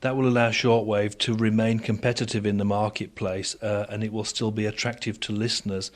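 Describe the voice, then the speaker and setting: A man speaking as an expert guest in a radio interview, explaining things in a measured, formal and articulate way. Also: lowish voice, flat delivery. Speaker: interviewed expert on broadcast technology.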